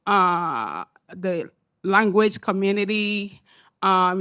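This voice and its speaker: A woman with an African accent speaking as an academic panelist, emphatic and animated, often asking "right?". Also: mid-range voice. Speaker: panelist, academic and social entrepreneur. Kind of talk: panel discussion remarks. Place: symposium panel room.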